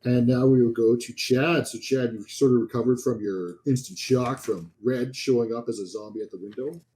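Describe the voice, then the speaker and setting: A man's voice: medium pitch, normal speed, mellow, rough recording. A man narrating a tabletop horror game as game master, improvising descriptions in a casual way.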